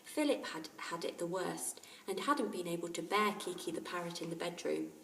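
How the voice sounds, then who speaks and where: A woman's voice: fairly low pitch, animated, normal speed, gravelly, a bit bright, slight background noise. A woman teacher narrating a children's story aloud. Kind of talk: children's audiobook-style narration. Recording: roomy, distant microphone.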